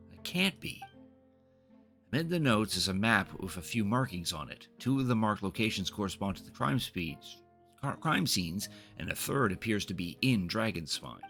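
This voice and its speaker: A man's voice: low voice, pushed and bright. A man hosting a game livestream, reading dialogue aloud and chatting to viewers, sometimes stumbling over and repeating words. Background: video game music.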